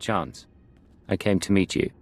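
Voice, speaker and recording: A man voicing a cold, controlled secret agent in a video game, measured and clinical. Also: low-pitched, slight background noise.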